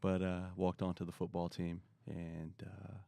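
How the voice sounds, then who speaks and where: Deep, gravelly-voiced middle-aged man chatting. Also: measured pace, no room sound. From born in Korea, raised in the US. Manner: relaxed, many uh fillers, rambling lists. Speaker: guest, marketing expert. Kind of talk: conversational interview answers.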